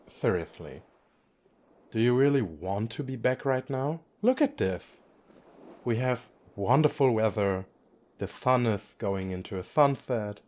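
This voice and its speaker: A playful, effeminate young god of the sea, acted by a man, speaks straight to the listener in an ASMR romance roleplay. His voice is fairly low and takes its time, yet it swings widely in pitch as he teases and coaxes. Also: darkish tone. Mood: friendly, curious. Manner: teasing, coaxing, addressing the listener directly.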